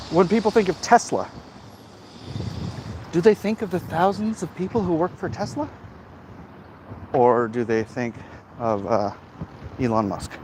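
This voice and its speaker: Guy doing a casual walking vlog rant, kinda high voice, halting n opinionated, lots of street noise. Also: a little mellow. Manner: rambling, opinionated, halting with emphatic repeats. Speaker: host of a livestream vlog, comic creator. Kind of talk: casual off-the-cuff commentary, answering chat. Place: outdoors, walking in an urban area. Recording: clear outdoor traffic and street noise.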